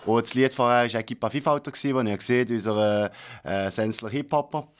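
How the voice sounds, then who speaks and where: Swiss German radio host, mid-range voice, chatty and curious. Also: male voice, mid-range voice, a little fast, clean tone. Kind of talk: casual radio chat.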